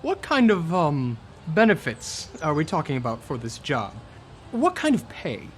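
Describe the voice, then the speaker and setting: A man's voice: fairly high pitch, huge pitch swings, unhurried, slight background noise. A man playing a wry, wary young adventurer with casual, teasing talk in a tabletop radio play.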